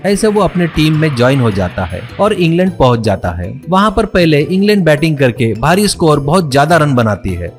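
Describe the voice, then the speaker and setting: A man's voice: a little high, sing-song, brisk, hardly any pauses, soft background music. A man narrating a scripted moral story as the storyteller.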